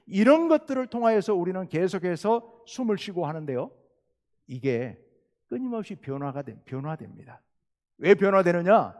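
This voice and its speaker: A man's voice: fairly high pitch, darkish tone. A middle-aged male pastor from South Jeolla with a Jeolla Korean accent, preaching a sermon with earnest emphasis and rhetorical questions. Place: church sanctuary. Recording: long church reverb.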